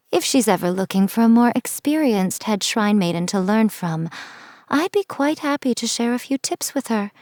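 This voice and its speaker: A woman's voice: mid-range voice, a little mellow, no room sound, studio quality. A woman voicing a sly, teasing fox-spirit shrine priestess, speaking in a playful, wry, mocking tone. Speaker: game character. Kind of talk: scripted game voice lines.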